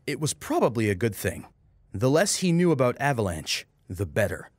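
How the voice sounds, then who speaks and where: A man's voice: mid-range voice, sing-song, normal speed, darkish tone, no room sound, clean studio sound. A man narrating a scripted story as a dramatic audiobook reader. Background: music.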